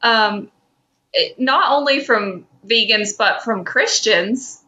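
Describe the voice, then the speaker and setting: A young woman co-hosting a podcast in casual chat, with hesitant, halting phrasing. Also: a little high, pushed and bright. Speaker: podcast co-host.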